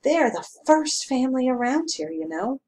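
A woman's voice: sits high, sing-song, normal speed, darkish tone, voice pushed up. A woman voicing a middle-aged rural male constable who talks in a folksy, drawling way, sour by turns and chatty. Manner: folksy drawling talk, sour then gabby. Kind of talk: audiobook character reading.

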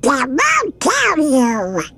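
A man's voice: extremely high pitch, wildly swooping pitch, very slow, pushed and bright, exaggerated voice. An actor voicing a hot-tempered, bossy male cartoon duck with a garbled, quacky squawk. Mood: scolding. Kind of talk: cartoon game acting.

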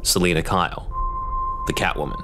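A man's voice: sits low, moderate pace, over music. A man reading scripted narration for an audio drama.

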